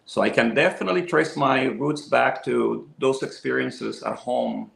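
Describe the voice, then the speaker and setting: A man's voice: medium pitch, moderate pace, vocal fry, a bit bright. A man in his fifties from Venezuela, chatting enthusiastically as a guest about his love of music and gear. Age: 50s. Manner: enthusiastic, talkative storyteller. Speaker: interview guest, audio equipment seller. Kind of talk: casual interview chat. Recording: echoey room.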